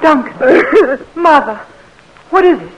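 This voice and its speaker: An actress playing a proud, fierce young Southern plantation woman in a 1940s radio drama, speaking in an emphatic, high-strung way. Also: expressive, takes it slowly, heavy background noise. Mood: stricken.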